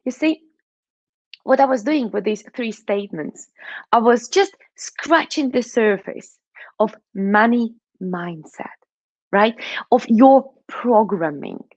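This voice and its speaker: A woman's voice: fairly high pitch, slow pace, no room sound, muffled recording. A woman with a Russian accent, a money mindset coach giving a motivational talk, putting questions to the listener and checking in with "right?" and "okay?".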